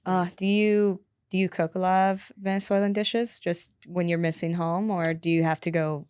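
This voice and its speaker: A woman hosting a podcast, chatting in a relaxed way with many fillers. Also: lowish voice, normal speed, clear, resonant tone. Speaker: podcast host. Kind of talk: casual interview chat.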